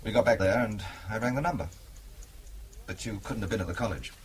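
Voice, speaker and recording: An actor playing a wry, literate British spy given to quick understatement in an audio drama thriller. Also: male voice, lowish voice, slight background noise, close to an everyday voice. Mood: grim, dry. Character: dry-witted British male spy on honeymoon.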